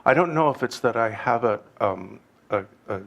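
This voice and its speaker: A man with a Canadian accent giving an informal dharma teaching, talking in a halting, digressive way with wry, self-deprecating humor. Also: mid-range voice, animated, vocal fry, dull audio. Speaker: Buddhist teacher.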